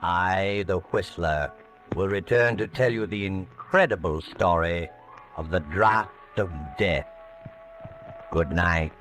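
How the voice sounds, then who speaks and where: Ominous 1940s radio narrator: low voice, all-knowing, taunting, music underneath. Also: male voice, slow, a bit bright.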